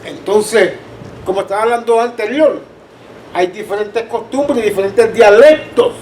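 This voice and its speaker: A man with a Caribbean Spanish accent and a high voice teaches a Bible class in a conversational way. He explains through anecdotes and checks that people understand. He is picked up from a distance in an echoey room with some background noise. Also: measured pace, a little mellow. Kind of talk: informal church teaching with anecdotes. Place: church room.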